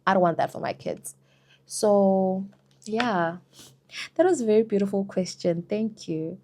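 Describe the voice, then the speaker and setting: A woman's voice: medium pitch, unhurried, warm tone. A young South African woman answering viewer questions in a casual, chatty vlog, often saying "girl" and "you know". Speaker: vlogger.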